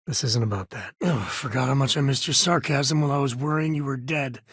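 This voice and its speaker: Raspy folksy space ranger for a game, wry, good-hearted, really close dry sound. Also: male voice, mid-range voice, brisk, fairly bright tone, dry recording. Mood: earnest. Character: rugged, wry, warm-hearted space cowboy ranger and father. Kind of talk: video game character dialogue.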